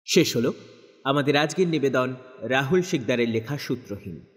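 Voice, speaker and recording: A man's voice: mid-range voice, expressive, normal speed. A man making a scripted closing announcement as the show's announcer. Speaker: announcer reading closing credits. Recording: long hall-like reverb.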